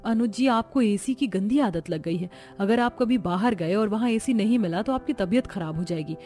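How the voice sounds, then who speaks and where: A woman voicing a gentle, dutiful young daughter-in-law in a cartoon story, polite and earnest. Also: medium pitch, animated, normal speed, faint music behind, lightly acted. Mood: concerned. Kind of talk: Hindi cartoon story voice acting.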